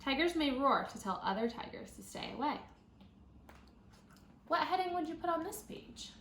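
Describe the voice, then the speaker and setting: High-voiced woman teacher reading aloud, echoey room. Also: animated, normal speed, fairly bright tone. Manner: instructional, thinking aloud, prompting young listeners with questions. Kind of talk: read-aloud of a children's nonfiction book with teaching asides. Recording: roomy echo, audible background noise.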